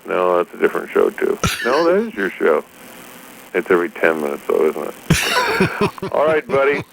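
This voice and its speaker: A man's voice: deep voice, huge pitch swings, normal speed, vocal fry, fairly bright tone, a little hiss or hum, poor audio. A man record promoter leaving chummy, rambling voicemails and laughing at his own jokes. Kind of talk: voicemail message.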